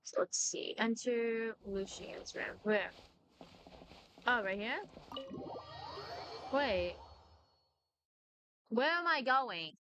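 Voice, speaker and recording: A woman's voice: a little high, very slow, long pauses, a bit bright, a little hiss or hum. A woman streaming a video game, chatting casually and reading dialogue aloud over game music.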